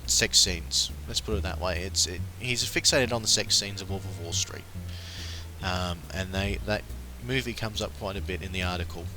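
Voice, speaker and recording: Chatty Australian man with a bright voice. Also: sits low, normal speed, soft background music, low-quality recording. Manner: chatty, frequent ums and uhs. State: recovering from flu. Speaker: pop culture podcast host. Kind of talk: casual solo commentary.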